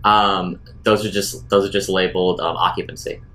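Deep, bright-voiced man playing a tabletop game. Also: level tone, moderate pace. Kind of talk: casual improvised game talk.

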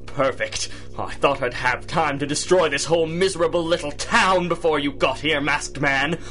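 An actor playing a gloating, theatrical megalomaniac supervillain in a 1930s-style radio drama. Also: male voice, on the high side, moderate pace, bright, forceful tone, soft background music. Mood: gloating menace.

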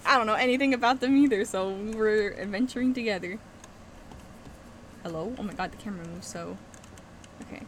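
A young woman streamer giving excitable, giggly commentary while playing, on a headset mic. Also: medium pitch, a little fast, pauses often, background noise. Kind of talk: casual gameplay commentary.

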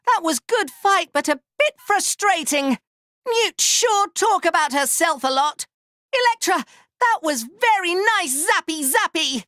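A man voicing a small, excitable, proud furry inventor creature for a video game, squeaky and cartoonish, talking in childlike broken grammar and in the third person. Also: unhurried, no room sound, clean studio sound, heavily acted. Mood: earnest. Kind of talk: video game character voice lines.

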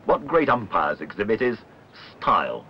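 Deep-toned male documentary narrator, muffled soundtrack. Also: sing-song, moderate pace, slight background noise. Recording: muffled old film soundtrack with music. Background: music.

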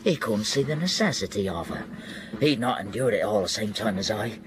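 An actor playing a tormented, raving deserter soldier in a radio drama, with a manner that veers between whispers and cries. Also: male voice, fairly low pitch, animated, brisk, background noise, close to an everyday voice. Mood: defensive confession. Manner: feverish, swinging from whispers to cries.

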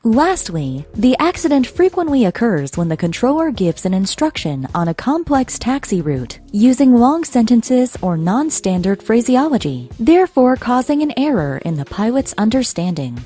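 Woman, instructional narrator, somewhat high pitch, lively intonation, scripted delivery. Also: normal speed, hardly any pauses, breathy edge, soft background music.

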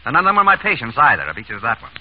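A man's voice: mid-range voice, very fast, husky. An actor in a 1930s radio comedy-mystery playing an urbane, dryly witty doctor exasperated by his ex-wife. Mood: fond exasperation. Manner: urbane, wry, deadpan. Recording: dull, noisy 1930s radio recording.

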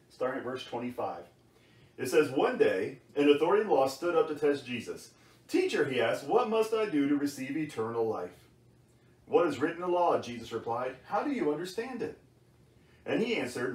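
Middle-aged male storyteller, theatrical and highly animated, playful bedtime reading, picked up from across a room. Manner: expressive, theatrical, addressing a child-like listener. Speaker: storyteller for a church's children's series. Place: small wood-panelled bedroom indoors. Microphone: camera across the room. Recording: roomy sound, distant microphone.

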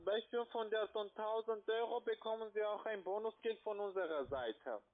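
Man, high voice, foreign accent, non-native, insistent sales pitch, tinny phone line. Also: moderate pace. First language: not German. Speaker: call-centre investment salesperson. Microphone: phone call.

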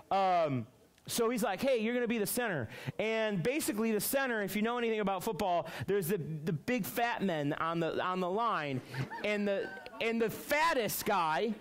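A man in his thirties giving a loud, energetic youth group talk, storytelling with many fillers in a church youth room. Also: high voice. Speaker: youth pastor.

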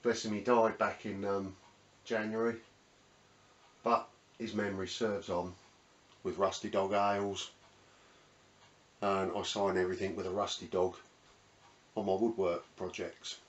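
A man's voice: deep voice, flat delivery, a little slow, long pauses. A middle-aged British man giving a casual, chatty, unscripted tour as host of a woodworking channel. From United Kingdom. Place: small cluttered indoor home workshop. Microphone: camera mic a few metres away. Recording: roomy, distant mic, faint background noise.

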